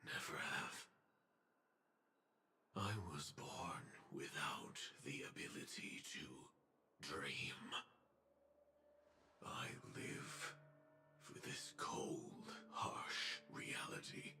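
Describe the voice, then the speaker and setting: A brooding, fatalistic game character with a very low, gravelly voice. He speaks slowly and solemnly, half whispering, in an echoing space. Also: big pitch swings, full character voice. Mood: bitter, grave. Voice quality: gravelly, breathy. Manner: slow, halting, solemn. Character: brooding man with a burdened, fatalistic temper. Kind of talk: video game voice acting.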